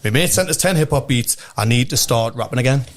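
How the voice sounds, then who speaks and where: A man, a guest MC on a podcast, chatting bluntly and excitably and swearing freely. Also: mid-range voice, fast, slight background noise. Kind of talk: casual podcast chat.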